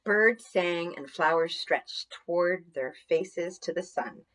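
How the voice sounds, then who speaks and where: A woman storyteller reading scripted narration for children in an expressive, deliberate manner. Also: lowish voice, rough recording.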